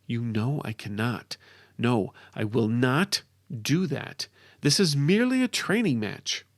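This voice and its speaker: A man's voice: mid-range voice, expressive, slow, dry recording, studio quality. A man playing a frustrated, stubborn warrior in a fantasy audiobook, earnest and defensive. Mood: firm refusal. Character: frustrated young male warrior adept, stubborn and strained. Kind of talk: dramatic fantasy audiobook character.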